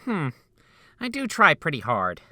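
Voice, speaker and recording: Man playing a goofy theatrical doctor, swooping pitch. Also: huge pitch swings, measured pace, bright, forceful tone, no room sound, clean studio sound, exaggerated voice. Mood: upbeat, casual. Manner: theatrical, exclamatory. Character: goofy, theatrical male memory-altering doctor, eager but weary. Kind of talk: video game fan dub acting.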